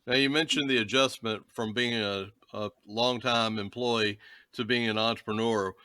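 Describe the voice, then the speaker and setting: A man's voice: mid-range voice, fairly even intonation, normal speed, pushed and bright, clean tone, no room sound, studio quality. A man hosting an interview show, giving polished, formal introductions and questions. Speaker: show host.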